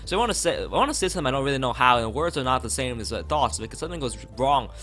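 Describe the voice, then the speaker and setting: A man voicing a strange, timid teenage schoolgirl in a visual novel, rambling in halting, muddled run-on sentences. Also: medium pitch, animated, fairly bright tone, faint music behind, natural voice. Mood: nervous rambling.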